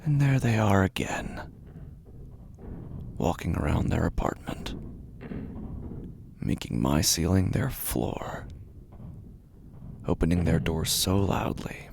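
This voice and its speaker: A man playing an anxious, obsessive apartment dweller in a horror audio drama monologue, with a gravelly, often breathy voice and intense, deliberate delivery. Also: a little hiss or hum, exaggerated voice. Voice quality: low, gravelly, often breathy, dropping to whispers. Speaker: horror fiction narrator.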